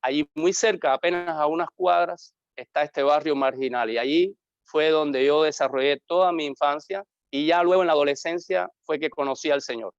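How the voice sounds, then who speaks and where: Cuban male pastor, clear and smooth voice, earnest reflective testimony, dull dry audio. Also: fairly high pitch, no room sound. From Cuba. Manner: earnest, reflective storytelling with pauses. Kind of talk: interview, personal testimony.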